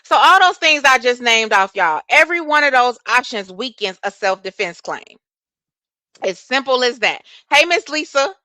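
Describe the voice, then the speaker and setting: A soft-voiced woman with hosts a live chat, her tone bright and forceful. She sits close to a dry microphone and answers viewers in an animated way, cursing freely. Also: high-pitched, moderate pace, dry recording. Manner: animated, talks back to chat, curses freely. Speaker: livestream host.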